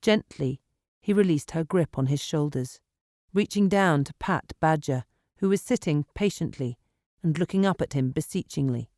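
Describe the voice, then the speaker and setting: Woman reading an audiobook, fairly low voice, dramatic but measured, dry studio. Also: dry recording. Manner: expressive, measured storytelling. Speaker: audiobook narrator. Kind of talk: dramatic scripted fiction narration.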